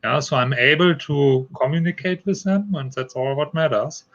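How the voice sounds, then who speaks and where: A man's voice: fairly high pitch, fairly bright tone, muffled recording. A man from Germany with a heavy German accent, explaining things step by step in a casual chat. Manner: explains step by step, halting non-native phrasing. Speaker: guest. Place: Iowa.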